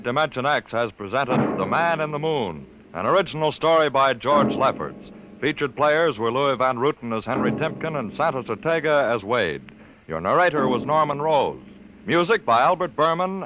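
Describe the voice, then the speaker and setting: A male announcer reading closing credits in a formal, measured 1950s radio style. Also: mid-range voice. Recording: noisy, muffled old radio recording.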